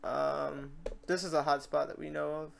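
A man hosting a casual software tutorial, informal and rambling, thinking aloud as he works. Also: on the high side, little pitch movement, normal speed, creaky, slight background noise. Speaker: tutorial host. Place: indoors at a computer.

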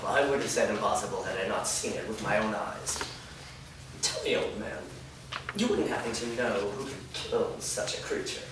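An actor playing a prissy, menacing henchman of a dark master in a staged fantasy reading, haughty, insinuating and deliberate. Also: fairly low pitch, close to an everyday voice. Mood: smug probing. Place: indoor performance room before a small audience. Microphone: far camera. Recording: echoey hall, distant mic, noisy.

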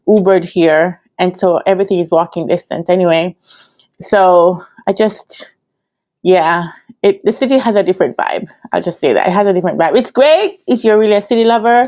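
Jamaican woman in her thirties, relaxed chatty vlogger speaking into a laptop webcam in a hotel room. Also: lowish voice. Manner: chatty, laughs often, talks straight to viewers. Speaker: vlogger and business analyst coach. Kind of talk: casual storytelling vlog. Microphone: laptop webcam at desk.

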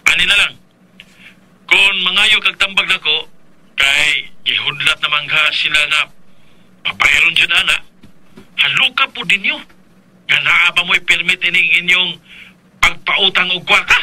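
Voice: medium pitch, unhurried, long pauses, pushed and bright, poor audio. A Filipino performer with a Cebuano accent playing a frank friend who questions and advises in a radio drama. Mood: concerned.

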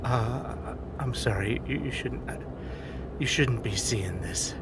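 An insecure desk cop and father who is down on himself, in a naturalistic audio drama. He speaks haltingly and circles back over his words. There is distinct vehicle noise behind him. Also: medium pitch, lively intonation. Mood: defeated. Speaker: actor in a scripted audio drama.

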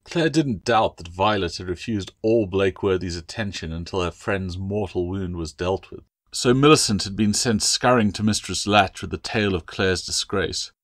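A man's voice: low-pitched, moderate pace, few pauses, a bit bright, no room sound, clean studio sound. A man reading his own fantasy novel as scripted audiobook narration.

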